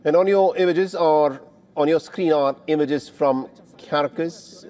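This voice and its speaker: A man's voice: on the high side, mellow. Male news anchor presenting breaking news. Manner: measured, clear newsreader delivery.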